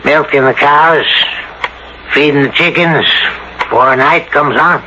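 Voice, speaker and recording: An actor playing a menacing, gloating old farmer uncle in a radio drama, with a sly, mock-polite manner. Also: male voice, fairly high pitch, a little slow, natural voice. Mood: falsely friendly. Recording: dull, noisy old radio broadcast.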